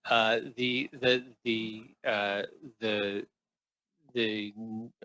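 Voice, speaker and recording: A man's voice: fairly low pitch, level tone, drawn-out words, sharp tone, rough recording. A man speaking as a senior government official at a committee hearing, formal and diplomatic, with hesitant, often stumbling delivery. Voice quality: hesitant delivery, frequent stumbles and repeated words. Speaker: senior transport department official. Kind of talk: answering questions at a committee hearing.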